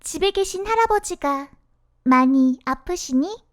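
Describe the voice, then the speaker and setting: A woman playing a gentle, motherly fairy who admonishes softly and in a measured way in a children's storybook. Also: very high-pitched, lively intonation, no room sound, studio quality. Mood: gently questioning.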